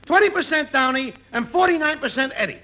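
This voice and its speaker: High-voiced, brash slangy everyman. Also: expressive, a little fast, pushed and bright, exaggerated voice. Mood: wisecracking. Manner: slangy, blunt, wisecracking. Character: brash, wisecracking working-class everyman neighbor, John Doe. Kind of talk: 1940s radio comedy acting. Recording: noisy vintage 1940s radio broadcast.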